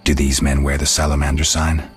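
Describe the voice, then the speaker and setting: An actor playing a gruff, stoic monster hunter for hire in a video game, speaking in a terse, deadpan way. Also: male voice, a bass voice, brisk, soft background music, full character voice. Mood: businesslike. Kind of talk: video game dialogue acting.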